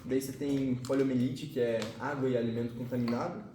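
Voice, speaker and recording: A man's voice: medium pitch, fairly even intonation, fairly quick, distant mic. A male biology teacher, giving a casual, slangy classroom lesson with occasional swearing and frequent check-ins with the students. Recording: echoey classroom picked up from afar, noisy.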